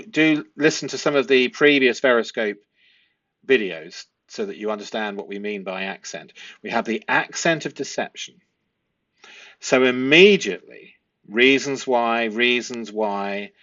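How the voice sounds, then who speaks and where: A man explaining a transcript analysis as a host, speaking deliberately and posing rhetorical questions. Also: mid-range voice, bright, forceful tone, dry recording, lo-fi sound. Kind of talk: explanatory analysis of a transcript, part read aloud.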